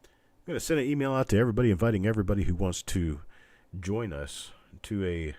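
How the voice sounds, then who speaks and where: A man hosting a casual live online auction stream, chatting in a rambling, halting, folksy way with a scratchy, stuffed-up voice weakened by illness. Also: on the low side, darkish tone, dry recording. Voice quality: congested, stuffed-up nose. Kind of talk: casual livestream chat with viewers.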